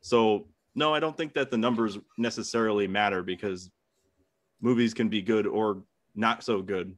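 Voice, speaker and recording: Young man, lowish voice, casual debater, conversational, dry sound. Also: normal speed, no room sound. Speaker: debate contestant. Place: room at home, video call.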